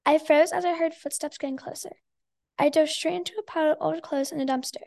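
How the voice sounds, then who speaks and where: A girl of about eleven reads her own written story aloud. Her voice is very high, with a noticeable crackly fry running through it. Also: steady tone, quick pace, darkish tone. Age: child, about eleven or twelve. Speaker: sixth grade student guest.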